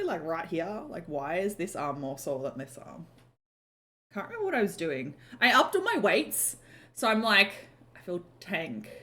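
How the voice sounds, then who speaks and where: A woman's voice: lowish voice, fairly bright tone. Chatty young woman streaming. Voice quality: slightly croaky. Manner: chatty, lots of "like" and "um", swears casually. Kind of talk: casual chat on a live stream.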